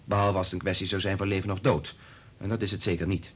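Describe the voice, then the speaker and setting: An actor in a Dutch radio play playing a principled research doctor who is firm, emphatic and quick to anger. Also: male voice, deep voice, flat delivery, slightly rough. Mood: firm, irritated. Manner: emphatic, argumentative, swearing.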